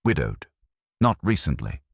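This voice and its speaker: Man voicing a reserved, genteel widow, low-pitched. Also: very animated, measured pace, breathy edge, dry recording, clean studio sound, heavily acted. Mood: guarded. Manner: restrained, hesitant, polite. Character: reserved, careful widowed woman, genteel and polite.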